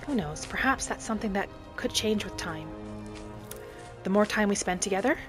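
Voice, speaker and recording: Casting: woman as gentle wood elf wanderer for fantasy game roleplay; mid-pitched, measured, reflective; clear music bed. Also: natural voice. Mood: musing. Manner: musing aloud, measured, speaking in short reflective phrases. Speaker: roleplay gamer narrating in character. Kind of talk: in-character game roleplay monologue. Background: background music.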